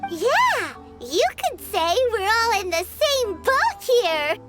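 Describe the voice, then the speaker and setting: A woman voicing a tiny floating childlike mascot companion in a video game, chirpy and cheeky with quick quips. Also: very high voice, sing-song, heavily acted. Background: game background music.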